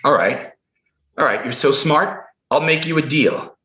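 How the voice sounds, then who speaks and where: Actor as a bossy author lecturing his character; slow, slightly high, roomy dull recording. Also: bright, forceful tone. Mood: exasperated. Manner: exasperated, lecturing. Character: controlling male novelist arguing with his own character. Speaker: improv performer. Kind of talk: improvised stage comedy.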